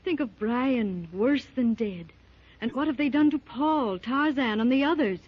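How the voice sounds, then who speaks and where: An actress playing an anguished, defiant young captive heroine, melodramatic in a 1930s radio serial style. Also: female voice, high-pitched, expressive, a little slow. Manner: melodramatic, pleading. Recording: muffled old 1930s radio recording.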